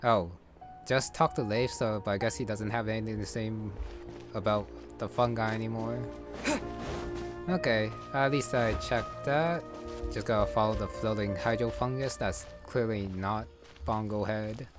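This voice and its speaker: A man with a fairly low voice commentates casually on a game he is playing. He muses and hesitates as he thinks aloud, with clear music playing behind him. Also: fairly even intonation, normal speed. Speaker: gamer commentating on playthrough.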